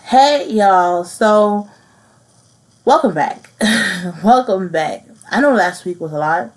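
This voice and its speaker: A woman in her thirties hosting her own podcast, speaking earnestly and haltingly about something personal, repeating phrases as she works through it. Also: mid-range voice, animated, low-quality recording. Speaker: podcast host. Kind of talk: personal reflective monologue.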